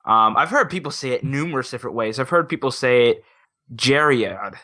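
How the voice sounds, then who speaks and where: A man's voice: mid-range voice, animated, a little fast, sharp tone. A man chatting casually as a co-host on a podcast. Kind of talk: casual chat.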